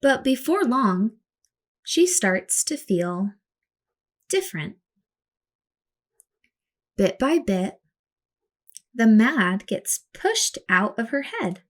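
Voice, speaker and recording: A woman storyteller reading a picture book aloud to children in an expressive, dramatic way, acting out the words with her voice. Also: a little high, very slow, long pauses.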